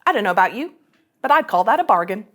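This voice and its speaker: A woman's voice: medium pitch, big pitch swings, fast, fairly bright tone. A woman playing a cocky, persuasive young female starship ensign in a theatrical, sardonic sci-fi script reading. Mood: smug, persuasive. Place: studio.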